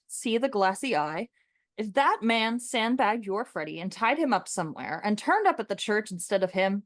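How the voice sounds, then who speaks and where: A woman's voice: medium pitch, no room sound, studio quality, lightly acted. A woman playing an opinionated, sardonic young man in a radio play, lecturing in an emphatic, mocking way.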